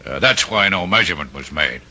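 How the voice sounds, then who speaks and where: An actor playing a deferential police sergeant in a radio drama, halting and respectful. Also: male voice, low voice, expressive, bright, forceful tone, exaggerated voice. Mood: hesitant, respectful. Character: deferential, hesitant police sergeant giving testimony. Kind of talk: old-time radio drama acting.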